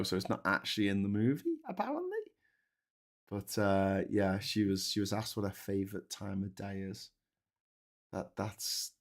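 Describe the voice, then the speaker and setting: Sarcastic rambling man hosting a chat. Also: fairly low pitch. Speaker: host. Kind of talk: casual livestream chat reading comments.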